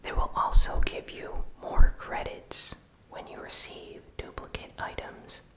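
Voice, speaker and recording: A host whispering a gaming news recap in a soft, unhurried ASMR style. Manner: slow, soft, unvoiced whispering.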